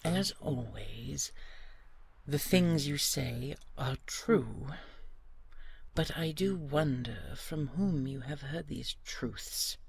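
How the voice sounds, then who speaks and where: A woman playing a proud, guarded dragon queen in a fantasy audio drama, speaking formally with wry, restrained deference in a husky voice. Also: extremely low pitch, expressive, drawn-out words, full character voice. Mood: defiant, wry. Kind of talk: audio roleplay fantasy acting.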